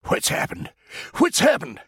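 A man doing a gravelly, blustering old general. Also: a little high, wildly swooping pitch, measured pace, bright, forceful tone, no room sound, clean studio sound, voice pushed up, full character voice. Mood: alarmed. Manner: blustering folksy drawl. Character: gruff, blustering old male American general.

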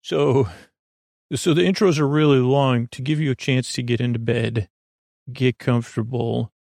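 A man hosting a sleep podcast in a rambling, halting monologue that drifts off into tangents and questions to himself. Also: medium pitch, fairly even intonation, vocal fry, a little mellow, dry recording, studio quality. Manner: meandering, halting, trails off into tangents and self-questioning. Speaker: podcast host.

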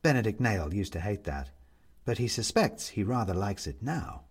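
Expressive male storyteller, widely swooping pitch. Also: normal speed, slightly rough. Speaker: audiobook narrator.

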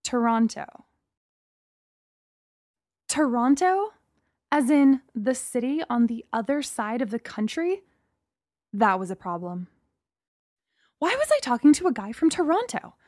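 A woman's voice: sits high, darkish tone, no echo, clean studio sound. A young woman from Singapore narrating her own story in a wry, candid, first-person storytelling way.

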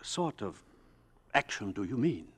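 A man's voice: fairly low pitch, sing-song, a little slow, a bit bright, slightly rough, heavily acted. An actor playing a curious, thoughtful science professor in a 1950s radio drama, hesitant as he searches for words. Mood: probing, cautious. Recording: 1950s radio broadcast recording.

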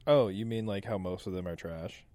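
A low-pitched man appearing as a podcast guest, trading blunt and opinionated takes in a loose, casual conversation. His voice sits very low and is quick to dismiss things. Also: dark tone, dry recording. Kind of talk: casual chat.

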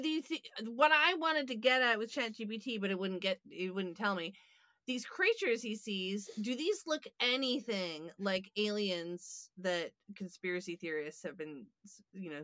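A woman chats casually as a podcast co-host, curious and full of questions. Her voice is bright and of average pitch. The recording is dry, without room echo. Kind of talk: casual chat.

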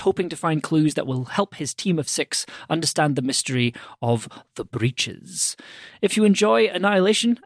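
Higher-voiced male podcast host, dry sound. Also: no echo. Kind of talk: scripted promotional shout-out.

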